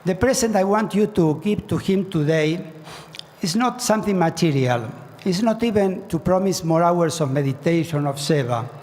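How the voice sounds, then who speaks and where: A fairly high-voiced man from Argentina speaks earnestly to a large congregation. Key phrases get fervent, rising emphasis. His voice carries a long reverb off the walls of a big hall. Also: expressive, normal speed, a little mellow. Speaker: speaker at a spiritual gathering. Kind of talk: devotional address to a large audience.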